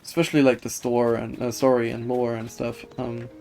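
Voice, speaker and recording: A man live streaming a video game, chatting casually with viewers in a hesitant, halting way that often repeats words. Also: mid-range voice, little pitch movement, vocal fry, a little mellow. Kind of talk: casual chat while gaming, reading and answering viewer comments. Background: video game music.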